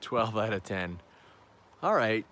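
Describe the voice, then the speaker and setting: A man hosting a live travel stream outdoors, chatting upbeat to viewers while walking, his voice going hoarse. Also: lowish voice, animated, slow, a bit bright, slight background noise. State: losing his voice. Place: outdoors on town backstreets at evening.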